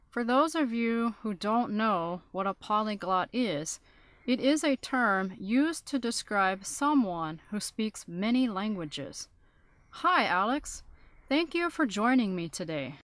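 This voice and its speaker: A woman playing an interviewer in a scripted listening-test dialogue, reading in a measured, clear way. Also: medium pitch, a bit bright, dry recording.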